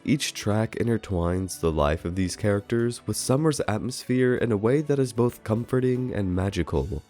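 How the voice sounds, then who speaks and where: A man's voice: lowish voice, dark tone. A man reading a scripted review as narration, in an earnest and formally worded way. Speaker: video essay reviewer. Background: music under the voice.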